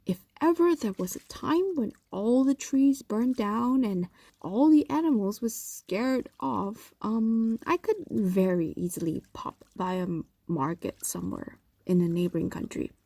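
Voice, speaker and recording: An ancient female fox demon, acted by a woman dotes possessively on a lover in an intimate audio roleplay. The voice is mellow and breathy, sliding into whispers, with widely swinging pitch. Coaxing and hesitant, this creature sounds tender and dangerous at once. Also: no echo.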